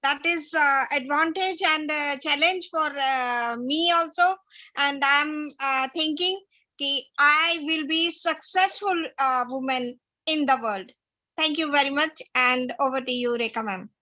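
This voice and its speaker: A woman from India, a brand ambassador, introducing herself haltingly while searching for words. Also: high voice, pushed and bright.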